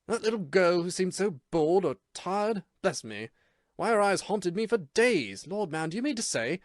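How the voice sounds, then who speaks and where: A man's voice: on the high side, animated, moderate pace, dry recording, studio quality, pitched above the performer's own voice, close to an everyday voice. A man voicing a teasing Irish friend with an Irish accent, rolling his R's and talking with mock patience. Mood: astonished.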